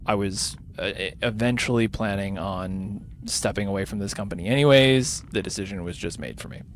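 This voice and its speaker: A tired man whose voice is starting to go hoarse, rambling casually and thinking aloud as he hosts a gaming livestream. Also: on the low side, fairly quick, a little hiss or hum. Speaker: livestreamer hosting a charity gaming stream. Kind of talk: casual unscripted game-stream chat.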